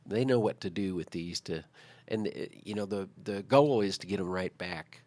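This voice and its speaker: A man's voice: sits low, normal speed, darkish tone, dry recording. A middle-aged man, chatting casually on a talk show in a folksy, conversational way. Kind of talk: casual chat.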